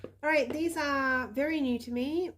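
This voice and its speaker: A woman in her thirties, slightly hoarse from a cold, chatting casually with many ums as she shows her tarot decks. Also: high-pitched, takes it slowly. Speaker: psychic reader showing tarot decks. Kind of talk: casual show-and-tell chat.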